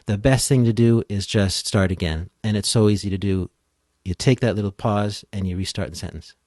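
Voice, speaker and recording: Guy with a fairly deep voice, close dry sound, casually explaining stuff like an expert guest. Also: steady tone, a little fast, darkish tone, dry recording. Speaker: podcast editing expert guest. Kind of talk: casual explanatory interview talk.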